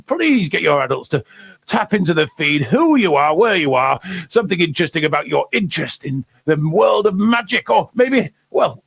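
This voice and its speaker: A man voicing an ancient, excitable male wizard headmaster who hosts a children's story time, giggling and greeting viewers by name. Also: high-pitched, gravelly, husky, dry recording, full character voice.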